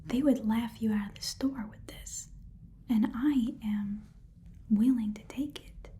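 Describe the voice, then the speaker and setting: A woman's voice: fairly high pitch, measured pace, dark tone, lightly acted. A woman playing a small, blunt goblin pawnshop keeper in a casual, muttering ASMR roleplay whisper. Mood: haggling, dismissive. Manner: rambling, casual, muttering, slangy. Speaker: ASMR performer playing a shopkeeper.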